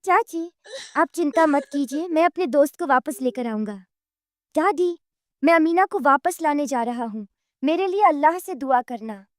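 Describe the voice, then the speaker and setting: A woman voicing a brave, devout eight-year-old village boy, earnest in manner, in an animated moral story. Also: sits high, normal speed, no echo, studio quality. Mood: determined.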